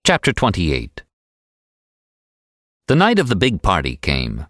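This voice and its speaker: A man reading an audiobook in an expressive, animated storytelling style. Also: low voice, slow, dry recording, clean studio sound. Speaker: audiobook narrator. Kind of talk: expressive scripted audiobook narration.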